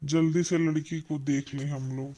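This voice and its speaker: Man voicing a mellow, polite groom's father. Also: fairly high pitch, level tone, moderate pace, lightly acted. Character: polite but impatient visiting father of the groom. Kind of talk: Hindi comedy cartoon dubbing.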